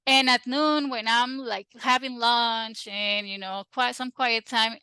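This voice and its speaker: Bright-voiced woman, chatty. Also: a little high, a little slow, clear, resonant tone, no room sound. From Costa Rica. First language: Spanish. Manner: chatty and animated, frequent restarts and laughs. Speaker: guest. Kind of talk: casual interview chat.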